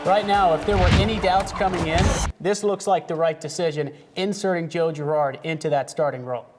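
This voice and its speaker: A young American man doing sports broadcast commentary in clipped, energetic phrases, as an announcer and host. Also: on the high side, a little fast, over music. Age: young adult.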